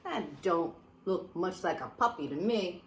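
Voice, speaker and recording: Lady reading as a drawly doubtful raccoon type critter, low and unimpressed. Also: lively intonation, measured pace, roomy sound. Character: skeptical drawling woodland critter.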